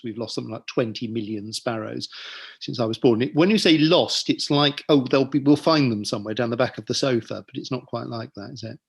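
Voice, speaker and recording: A man chats about his writing in a breathy, husky voice, easygoing and quick to poke fun at himself. He speaks over a video call, drifting into little asides and jokes as he goes. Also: medium pitch. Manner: chatty, self-deprecating, joking asides. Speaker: author and guest. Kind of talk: casual book-launch conversation.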